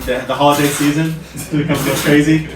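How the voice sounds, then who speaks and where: An American man hosting a casual staff tour, talking in a halting way and repeating words. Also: fairly high pitch, little pitch movement. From United States. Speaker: host of a factory tour. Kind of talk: casual chat, introducing staff. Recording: echoey noisy workspace, distant mic.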